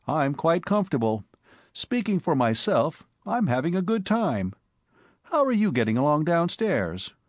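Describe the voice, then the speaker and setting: A man voicing a calm, witty young gentleman and former burglar with dry, ironic speech. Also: on the high side, unhurried, dry recording, voice pushed up.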